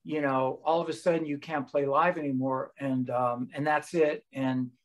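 American man, halting casual talker. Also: medium pitch, fairly even intonation. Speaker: host and touring musician. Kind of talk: casual video-call chat. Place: room at home with bookshelves.

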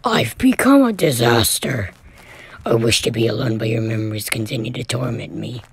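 A woman voicing a tormented, grieving villain in a cartoon, brooding and menacing in a hoarse, breathy whisper. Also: a bass voice, lively intonation, normal speed, no echo, pitched below the performer's own voice, exaggerated voice.

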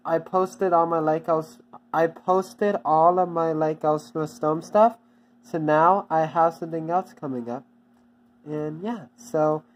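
A channel owner giving a casual, off-the-cuff update, halting and repeating itself often with many restarts. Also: mid-range voice, darkish tone, poor audio.

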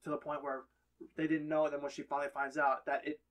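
A man co-hosting a podcast, explaining his idea haltingly and rephrasing it in casual group talk. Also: a little high, little pitch movement, very noisy. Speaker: podcast co-host. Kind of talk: casual panel discussion.

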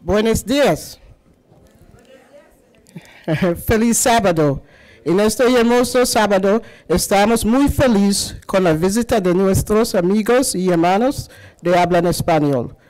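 A man's voice: high-pitched, unhurried, darkish tone, rough recording. A man at the front of a church, giving a short welcome announcement to Spanish-speaking visitors. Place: church sanctuary.

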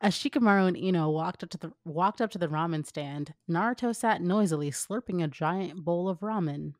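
Low-voiced young woman, closely recorded. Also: brisk, dry recording, clean studio sound. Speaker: co-host reading fan fiction. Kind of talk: casual read-aloud with banter.

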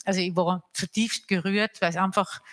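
An Austrian woman speaks on a stage panel into a handheld mic. Her voice is bright and crisp, and she talks in animated bursts with restarts. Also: mid-range voice, moderate pace. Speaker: panel guest from the hotel trade. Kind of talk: panel discussion.

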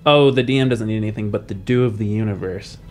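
A man's voice: on the low side, quick pace, a little mellow. A man hosting a podcast as a game master, talking casually and hesitantly as he thinks aloud. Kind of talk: casual podcast chat about world building. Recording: background music under the voice.

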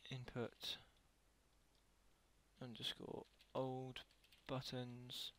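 A man giving a casual software tutorial as a voice-over, talking haltingly and correcting himself as he goes. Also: mid-range voice, monotone. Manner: halting, self-correcting while typing. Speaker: tutorial creator.

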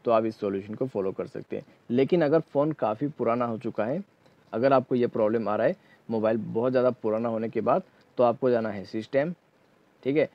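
A man's voice: medium pitch, a little fast, dry recording. Man giving a dull-sounding tech tutorial. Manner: instructional, repeatedly checking in with "okay". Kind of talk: spoken step-by-step phone tutorial.

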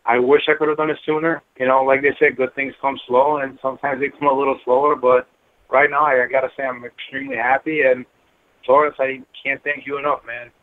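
A man's voice: mid-range voice, flat delivery, brisk. A middle-aged man talking casually and earnestly as an interview guest, peppering his speech with "you know". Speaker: guest, building engineer. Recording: thin phone-line sound.

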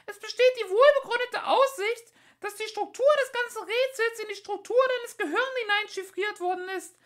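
A man voicing two scheming male mice scientists in a very high squeaky voice, reading an audiobook. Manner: fast, excited, scheming.

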